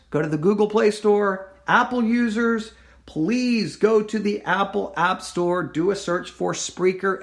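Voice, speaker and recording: Solo podcast host, male, fairly high pitch, hoarse, pleading, prone to restarts. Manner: rambling, self-correcting, repeats himself; earnest, pleading with listeners. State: losing his voice. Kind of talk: casual solo podcast update.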